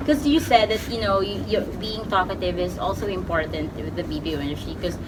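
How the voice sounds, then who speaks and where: A woman's voice: fairly low pitch, brisk. A woman from the Philippines giving casual job interview advice in a coaching manner, with frequent fillers and restarts. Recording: distant mic with background noise.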